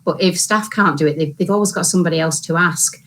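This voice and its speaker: A woman's voice: lowish voice, fast talker, a bit bright. A woman working as a local authority e-learning consultant, speaking conversationally in an online panel with many "sort of" fillers.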